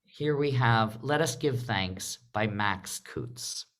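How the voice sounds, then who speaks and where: A woman casually hosting a live online theatre event on a video call, talking in halting phrases and checking in with her listeners. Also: rumbling low, animated. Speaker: host of a live theatre discussion.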